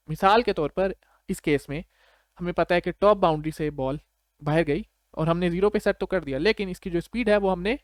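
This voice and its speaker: Pakistani guy tutor, kinda high voice, explains step by step, chatty, dry close sound. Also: dry recording. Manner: conversational, checks understanding with short tag questions. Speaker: programming tutor.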